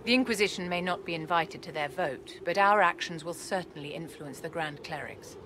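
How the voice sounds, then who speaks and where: Video game role: haughty noblewoman mage played by a woman, low register, measured and formal, noisy ambient backdrop. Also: natural voice. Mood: firm, persuasive. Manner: poised, haughty, condescending endearments, measured formal speech. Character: imperious, elegant aristocratic court enchantress. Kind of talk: video game cutscene acting.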